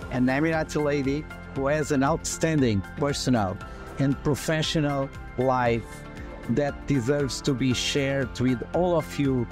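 A middle-aged man speaking in measured, halting phrases as he presents an event to camera like an interviewer. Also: mid-range voice. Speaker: doctor, interviewer. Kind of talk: promotional interview, addressing camera. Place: large open hospital lobby, indoors, seated on sofa. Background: background music.

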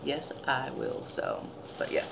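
A woman in her forties with a deep, gravelly, tired-sounding voice, chatting casually as a vlogger in her living room. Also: slow, background noise. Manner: chatty, animated storytelling. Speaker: beauty vlogger. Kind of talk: casual product haul chat. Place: living room by window blinds, indoors.

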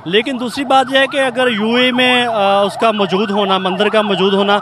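High-voiced man interviewed outdoors. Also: little pitch movement, normal speed. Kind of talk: street interview opinion. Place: open street at night. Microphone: handheld. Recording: outdoor street, traffic and sirens.

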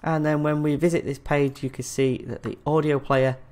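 A man's voice: a little high, level tone, normal speed, a little mellow. A man presenting a screencast tutorial in a casual, friendly instructional way.

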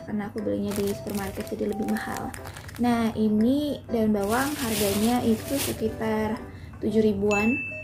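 A woman vlogger chatting casually through a grocery haul, often pausing to recall prices. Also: a little high. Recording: voice-over with clear background music.

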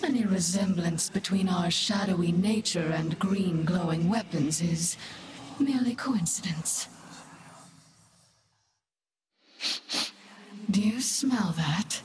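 An actress voicing a sly, haughty dreadlord demoness for a video game, with menacing, sardonic wit and a breathy delivery that often sinks toward a whisper. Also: female voice, fairly low pitch, a crowd in the background, lightly acted. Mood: dry sarcasm. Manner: slow, deliberate, theatrical, sardonic. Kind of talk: video game character voice lines. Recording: echoing voice with game ambience noise.

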